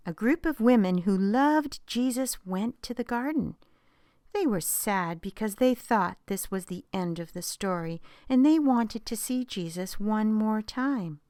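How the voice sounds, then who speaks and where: A woman reading a Bible story aloud for children, telling it expressively and dropping to a hushed whisper at dramatic moments. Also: mid-range voice, no room sound, clean studio sound.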